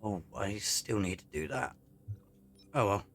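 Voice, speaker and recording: A man giving casual, low-key commentary on a video game as he plays, often muttering to himself. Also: lively intonation, unhurried, fairly bright tone. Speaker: gaming YouTuber. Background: game music.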